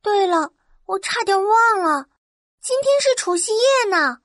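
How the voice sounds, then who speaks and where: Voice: very high-pitched, expressive, a little slow, a bit bright, no echo, clean studio sound, full character voice. A performer voicing a poor, cold, hungry little match-selling girl in a children's storybook, pleading and exclaiming. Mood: longing.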